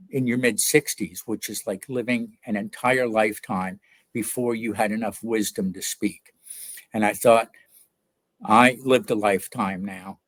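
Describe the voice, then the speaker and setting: An older man from New York, an author answering interview questions in a reflective, hesitant way with many pauses and fillers. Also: fairly low pitch, no echo.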